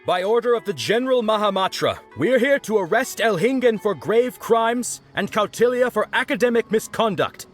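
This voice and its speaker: An earnest officer of the guard in a video game. His voice is high for a man, polite and formal, with faint music behind. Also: animated, normal speed, hardly any pauses. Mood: official, earnest.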